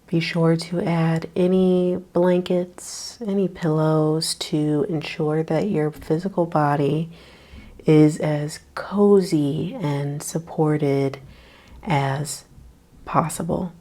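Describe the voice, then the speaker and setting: A woman teaching a guided yoga nidra meditation, speaking softly with long pauses and breathy, trailing words. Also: low-pitched, fairly even intonation.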